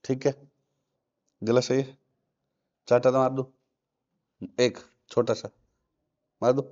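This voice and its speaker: A man teaches a classroom lesson, stopping again and again to put questions to his students. His voice sits at an ordinary pitch. The recording sounds muffled and lo-fi. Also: level tone, takes it slowly, darkish tone. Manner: quizzing students with repeated questions. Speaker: commerce teacher. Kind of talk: classroom lecture.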